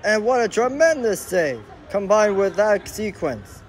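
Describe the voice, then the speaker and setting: A man giving excitable play-by-play commentary while filming a soccer match on a phone from the sideline of a large indoor hall. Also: high voice, unhurried. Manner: excitable, exclaiming at each chance. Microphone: phone camera filming from the sideline. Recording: noisy phone recording in a big hall.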